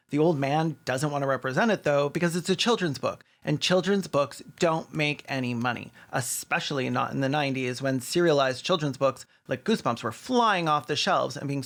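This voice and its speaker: A man's voice: mid-range voice, gravelly, fairly bright tone. A man narrating scripted comedic commentary in a wry, sarcastic manner. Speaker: video essay narrator.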